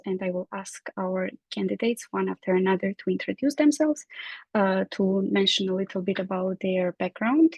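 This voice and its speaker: A woman's voice: mid-range voice, gravelly, a little mellow, slightly rough. A woman moderating an online town hall over a video call, laying out the procedure in an orderly way with frequent "uh" fillers. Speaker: event moderator.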